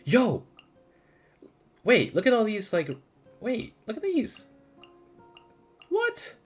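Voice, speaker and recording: A man's voice: extremely high pitch, huge pitch swings, slow, husky, faint music behind. A man streaming a video game with casual, slangy, sweary reactions and muttered asides. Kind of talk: casual reacting commentary over gameplay.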